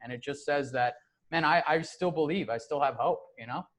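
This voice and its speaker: A man's voice: fairly high pitch, brisk. A man in a casual podcast chat, telling a story in an easygoing way, often dropping "man" and "you know".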